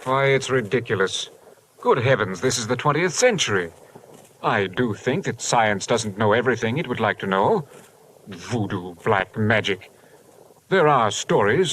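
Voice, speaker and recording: A man's voice: medium pitch, a bit bright, lightly acted, rough recording. A man playing an earnest, rational doctor with a precise, formal way of speaking in an old radio drama. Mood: indignant. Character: earnest, rational male doctor, worried about brother. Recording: old radio recording, faint noise.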